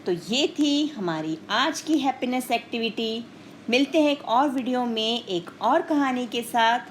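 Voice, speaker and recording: A woman teacher speaks to children in a storytelling lesson style, with a fairly high voice that moves up and down in a lively way. She takes her time, explaining and questioning didactically, over faint background noise. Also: a little slow.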